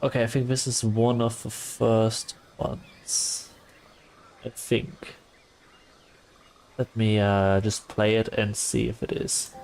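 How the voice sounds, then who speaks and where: A man streaming live and chatting casually with his viewers, soft and calm, often pausing on fillers. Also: fairly low pitch, vocal fry. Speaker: livestreamer.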